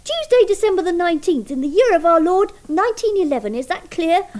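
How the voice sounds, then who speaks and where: An actress playing a precocious young English schoolboy in a radio play, declaiming with earnest, mock-formal insistence. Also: female voice, very high voice, very animated, fairly quick, a little mellow. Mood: insistent, reproachful.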